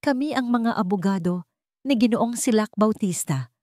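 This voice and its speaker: A woman narrator with a Filipino accent voicing a cold, authoritative female lawyer, calm and emphatic. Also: medium pitch, normal speed, no echo, clean studio sound, pitched above the performer's own voice, lightly acted. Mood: cold, firm.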